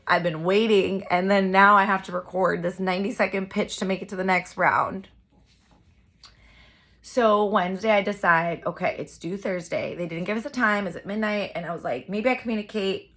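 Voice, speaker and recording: Bright-voiced chatty young woman. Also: lowish voice, vocal fry. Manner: chatty, animated, addresses viewers as "guys". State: congested from a cold. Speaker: vlogger and poet. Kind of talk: casual storytelling vlog.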